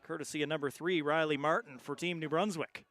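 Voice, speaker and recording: Male play-by-play announcer for live sport, slightly high-pitched voice. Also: fairly even intonation, a little fast, fairly bright tone. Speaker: play-by-play commentator.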